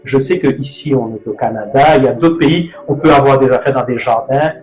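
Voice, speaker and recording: A man's voice: mid-range voice, a little fast. A middle-aged man from Mauritius hosting a casual talk on food, rambling and correcting himself as he goes. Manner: rambling, loose, self-correcting. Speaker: host. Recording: echoey room with music. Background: soft music.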